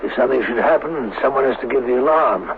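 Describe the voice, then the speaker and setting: An actor playing an obsessed, proud male scientist in a 1970s radio drama, speaking in a deliberate, grandiose, theatrical manner. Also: mid-range voice, narrow, tinny audio, a crowd in the background, lightly acted. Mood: reassuring. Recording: noisy, thin 1970s radio broadcast.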